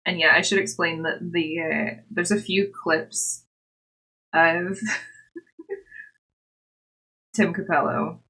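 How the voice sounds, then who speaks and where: Husky woman chatting casually. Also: on the low side, steady tone, slow pace, pauses often, bright, forceful tone, rough recording. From Scotland-born, grew up overseas and in the United States. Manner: chatty, informal, giggly. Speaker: livestream host and crafter. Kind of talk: casual chat with livestream viewers.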